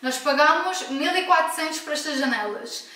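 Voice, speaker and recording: Portuguese woman vlogging at home, frank and emphatic, high voice, really echoey room, mic far off. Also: moderate pace, sharp tone. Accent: European Portuguese. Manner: frank, emphatic, with self-corrections. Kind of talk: casual home vlog talk.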